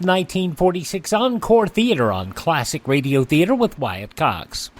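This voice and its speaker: A male radio host introducing old programs in a chatty, upbeat way. His voice sits a little high and moves lively. Faint music plays behind him. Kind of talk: casual radio hosting.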